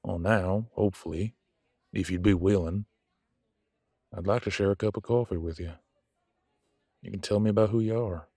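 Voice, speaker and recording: A man playing a proud, blunt werewolf in an audio drama, speaking haltingly as he grudgingly makes peace with a rival. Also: sits low, steady tone, warm tone, no echo, clean studio sound. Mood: earnest. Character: gruff proud male werewolf, reluctantly making peace with rival. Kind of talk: scripted audio roleplay drama.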